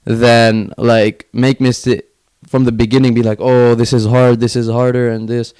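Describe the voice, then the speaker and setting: A man's voice: mid-range voice, level tone, normal speed, darkish tone, clean tone. A man from Finland chatting casually as an interview guest, in informal speech peppered with "like". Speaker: podcast guest, young entrepreneur.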